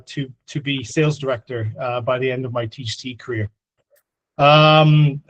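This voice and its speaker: A man originally from Scotland, raised partly in the Middle East, speaks in a slightly high register for a male voice. He sounds like a company director giving an informal introduction on an online video meeting. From Scotland, then the Middle East from age ten. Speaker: business development director, guest speaker.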